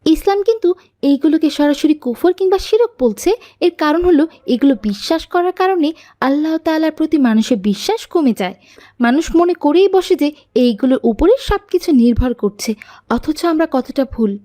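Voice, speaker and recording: A woman's voice: high voice, expressive, fairly quick, dark tone. A woman voicing an earnest, pious young girl who explains and corrects in an Islamic cartoon. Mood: earnest, concerned. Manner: didactic, explanatory. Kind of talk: Islamic cartoon dialogue, moral lesson.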